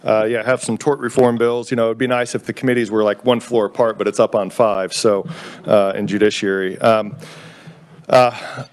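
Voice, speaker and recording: A man gives spoken testimony before a legislative committee. He talks in a loose, casual way and keeps stopping on fillers. His voice is fairly low and smooth. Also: flat delivery. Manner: casual, halting, many fillers. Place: state legislature committee room.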